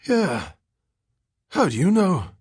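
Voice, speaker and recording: A man's voice: high-pitched, huge pitch swings, slow pace, darkish tone, breathy edge, dry recording, clean studio sound, pitched above the performer's own voice, full character voice. A man voicing a ragged, dazed drifter in an audiobook story, bitter and rambling, with repetitive, slangy street talk. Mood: suspicious, dazed.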